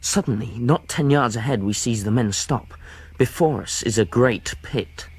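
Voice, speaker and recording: A man's voice: mid-range voice, moderate pace, a little mellow, a little hiss or hum, natural voice. A man playing a young adventurer who narrates his ordeal in a radio drama, in tense, rustic storytelling. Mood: suspenseful.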